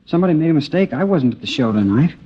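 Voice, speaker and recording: High, jumpy, defiant teenage boy. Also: expressive, racing, slightly rough. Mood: defensive. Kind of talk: 1950s radio drama acting. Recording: dull old radio recording.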